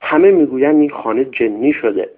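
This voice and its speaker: A man's voice: mid-range voice, lively intonation, natural voice. A man voicing an old, hunched female servant who is superstitious and fearful, in a tinny radio recording. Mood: frightened.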